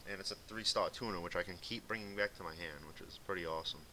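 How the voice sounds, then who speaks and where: A man's voice: sits low, fast talker, bright, forceful tone. A young man from Massachusetts with a light Boston accent, rambling casually through commentary on a card game deck with lots of fillers. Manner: rambling, filler-heavy, laid-back. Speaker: gaming video commentator. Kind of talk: casual commentary over gameplay. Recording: faint hissy home recording.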